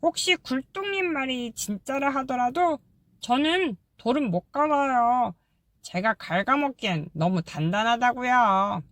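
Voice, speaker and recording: Woman voicing a high, lively little termite. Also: unhurried, dry recording. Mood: wary. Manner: polite, hesitant, bluntly practical. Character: polite wary little termite, blunt but kind. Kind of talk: audiobook character voice.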